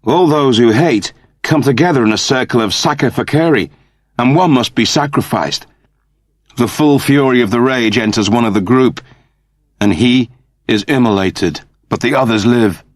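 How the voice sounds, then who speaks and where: A man's voice: medium pitch, moderate pace, no echo, close to an everyday voice. Rebel leader male narrator acting: grave, formal, commanding, intense, dry studio audiobook delivery. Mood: solemn. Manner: solemn, formal, declamatory.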